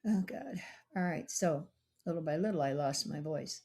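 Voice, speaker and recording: A woman's voice: low-pitched, expressive, moderate pace, gravelly, dark tone. A woman doctor hosting her own podcast, rambling in a chatty solo monologue and coaxing listeners to call and text her.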